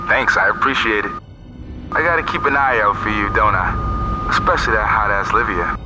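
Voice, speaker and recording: A man's voice: on the low side, normal speed, noisy background, close to an everyday voice. An actor playing a wheedling male eavesdropper and stranded hacker in a video game cutscene, chummy, crude and insinuating. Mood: teasing. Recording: music behind the voice.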